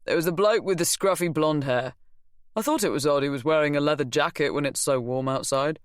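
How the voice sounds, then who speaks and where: A woman's voice: low voice, a little fast, no echo, studio quality, lightly acted. A woman voicing a mild, placating middle-aged man who serves in a shop.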